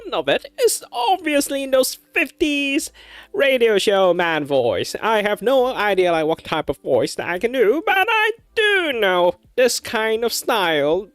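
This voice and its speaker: A man's voice: high voice, big pitch swings, unhurried, fairly bright tone, no echo, pitched above the performer's own voice, heavily acted. A man doing a light old-timey radio voice. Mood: showing off. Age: 30s. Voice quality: light, squeezed. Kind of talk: demonstrating voices on a livestream.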